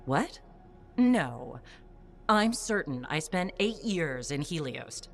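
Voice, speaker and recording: A woman's voice: low voice, very animated, takes it slowly, gravelly, slight background noise, heavily acted. An actress playing a scholarly middle-aged aunt who insists on her memories. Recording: game audio with music behind.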